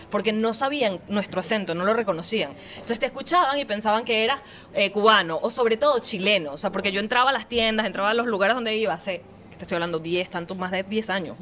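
A Venezuelan woman telling stories casually and comically in an animated way, acting out bits of dialogue. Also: medium pitch. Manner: animated, acts out quoted dialogue. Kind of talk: casual comedic storytelling.

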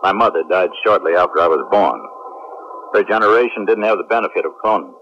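A man's voice: sits low, fast talker, lightly acted. A man acting a confident, strict military spaceship captain in a 1970s radio drama, commanding and self-assured. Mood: reflective, wry. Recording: muffled 1970s radio drama recording, faint noise.

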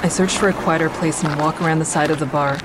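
A woman's voice: sits low, fairly even intonation, fast talker, noisy background, natural voice. An actress playing a guarded, introspective woman who narrates her own story in an audio drama, with wry understatement. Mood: uneasy, inward. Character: guarded woman, a stand-up comic and crisis-line volunteer, narrating. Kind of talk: first-person audio drama narration and dialogue.

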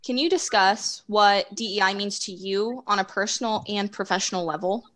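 A woman's voice: mid-range voice, normal speed, fairly bright tone. A woman interviewing a guest, asking questions in an encouraging, polished way.

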